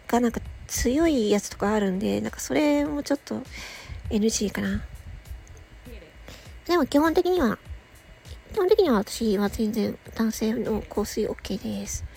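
A woman hosting a casual solo audio show, chatting playfully with self-mocking asides and a husky, slightly creaky voice. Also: fairly high pitch, animated, moderate pace, pauses often, darkish tone, faint music behind.

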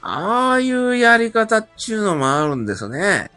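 Bright-voiced man chatting as a guest. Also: high-pitched, huge pitch swings, normal speed, no echo, soft background music. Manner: polite, folksy phrasing. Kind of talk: casual chat.

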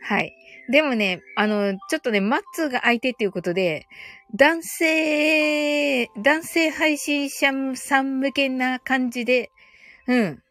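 Casting: woman livestream host, high-pitched and giggly, informal English-phrase teacher, music underneath. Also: measured pace, a bit bright. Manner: giggly, often laughing as she talks. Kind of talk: casual chatty livestream, reading listeners' comments.

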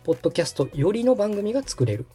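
Guy hosting a how-to podcast, animated pitch, soft mellow slightly husky voice, polite, music underneath. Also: quick pace. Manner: polite, explanatory, clearly guiding. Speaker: podcast host and tutorial instructor, radio director. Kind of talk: step-by-step spoken tutorial.

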